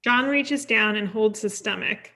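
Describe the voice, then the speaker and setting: A woman's voice: medium pitch, unhurried, bright, forceful tone. A woman reading stage directions as scripted narration at a table read.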